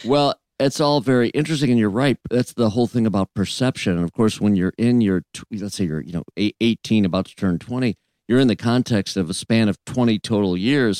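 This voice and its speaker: A man's voice: on the low side, darkish tone, clear, resonant tone, no room sound. A man in his forties hosting a morning talk show, telling a story in an easy conversational way. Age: 40s. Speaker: radio show host.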